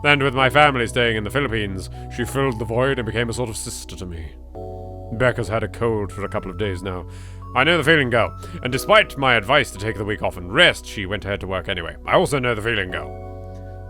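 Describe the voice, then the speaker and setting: A man with an English accent hosting a let's play, reading narration aloud and breaking in with animated, jokey asides. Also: lowish voice, fast, music in the background. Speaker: gaming video host.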